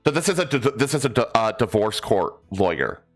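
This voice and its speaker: A man's voice: on the low side, big pitch swings, brisk, faint music behind. A man hosting casually, introducing and reacting to clips in a comedic way.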